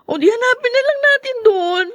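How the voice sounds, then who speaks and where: A woman's voice: very high voice, animated, moderate pace, fairly bright tone, no echo, clean studio sound. A woman playing a distressed young daughter left homeless, in Filipino radio drama style with a Filipino accent. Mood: tearful, hopeful.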